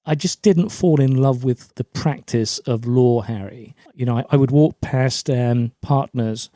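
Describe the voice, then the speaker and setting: A man's voice: medium pitch, a little slow, warm tone, no room sound, studio quality. A middle-aged man from Germany, raised in a British forces family, giving chatty, anecdotal answers as an interview guest. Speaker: podcast guest, LinkedIn brand coach.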